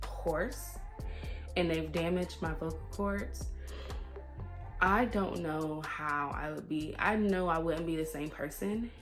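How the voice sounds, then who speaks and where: A woman talking candidly and confidingly in a conversational personal vlog. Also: sits low. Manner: conversational and confiding, quotes herself and others often. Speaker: vlogger. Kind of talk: candid personal life-update vlog talk. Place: at home.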